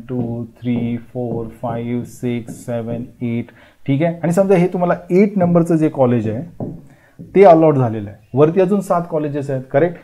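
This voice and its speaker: A man's voice: mid-range voice, warm tone. A man in his thirties explains admission steps at a whiteboard in a classroom lecture. He talks emphatically and reassuringly, keeps checking in with "okay," and switches between Marathi and English. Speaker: admissions counsellor teaching at a whiteboard. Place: indoor classroom in front of a whiteboard. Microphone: lapel mic.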